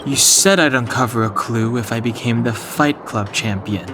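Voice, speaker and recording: An actor playing a cool, suspicious young man in a video game, speaking in a measured, serious, probing way. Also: medium pitch, music in the background, close to an everyday voice. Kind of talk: video game cutscene voice acting.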